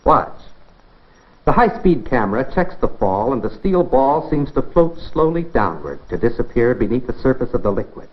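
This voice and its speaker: An American man presents a science demonstration in the manner of a 1950s classroom film. His pitch swings expressively as he instructs and puts questions straight to the viewer. The sound is muffled and narrow, like an old film track. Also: very animated, moderate pace. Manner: instructive, rhetorical questions, addresses viewer directly. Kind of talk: 1950s educational film narration, scripted demonstration. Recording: dull band-limited 1950s film soundtrack.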